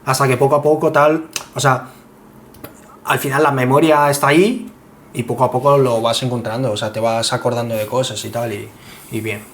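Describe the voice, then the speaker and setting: A man's voice: mid-range voice, moderate pace. Young Spanish man, mid-range voice, chatting. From Spain. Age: 20s. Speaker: podcast guest. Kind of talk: casual chat. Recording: clear steady background noise.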